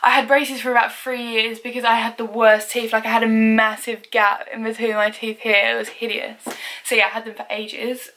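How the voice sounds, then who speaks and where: A young woman from England chatting casually in a vlog, with a naturally loud voice and lots of "like" and giggles. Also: on the high side, level tone, normal speed. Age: young adult. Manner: chatty, uses "like" constantly, giggly. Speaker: vlogger. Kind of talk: casual facts-about-me vlog.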